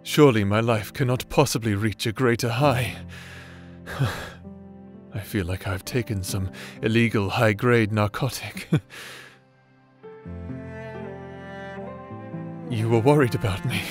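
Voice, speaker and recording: A man's voice: lowish voice, unhurried, faint music behind, close to an everyday voice. A man playing a doting, villainous necromancer husband in a romantic ASMR audio drama, speaking in a theatrical, formal and tender manner. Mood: elated, incredulous.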